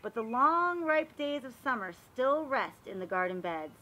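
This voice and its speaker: A woman reading a picture book aloud to young children, animated and expressive, pausing to explain and ask questions. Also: a little high, lo-fi sound. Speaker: read-aloud storyteller for children.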